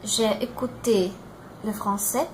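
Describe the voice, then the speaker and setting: A Brazilian woman in her twenties with a Brazilian Portuguese accent, introducing herself in a learned language and pausing often to search for words. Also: medium pitch, fairly even intonation, a little hiss or hum, poor audio. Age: 20s. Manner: halting, searching for words, many fillers and restarts.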